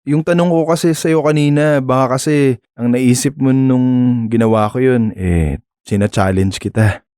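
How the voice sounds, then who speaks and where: An actor with a Filipino accent playing a shy, polite young man, smitten and halting, in a radio drama. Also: mid-range voice, darkish tone, clean tone, no room sound, clean studio sound, lightly acted. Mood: apologetic. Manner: hesitant, halting, nervous chuckles.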